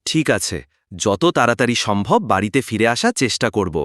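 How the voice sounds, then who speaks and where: A man's voice: lowish voice, lively intonation, a little fast, fairly bright tone, no room sound, clean studio sound. A man voicing a considerate, agreeable young village husband in a cartoon folk tale. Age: young adult.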